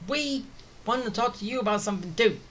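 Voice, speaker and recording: A man's voice: high-pitched, lively intonation, a little fast, fairly bright tone, a little hiss or hum. A man casually reading along and reacting as he plays a story-driven video game. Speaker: gamer commenting on playthrough.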